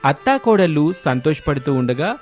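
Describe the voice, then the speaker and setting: A man's voice: on the high side, sing-song, normal speed. A man reading a scripted story as its narrator. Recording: music bed behind the voice.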